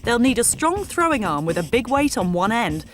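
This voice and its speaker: A woman's voice: fairly high pitch, very animated, moderate pace. A woman giving scripted narration for a competition show in an upbeat, punchy way. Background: music.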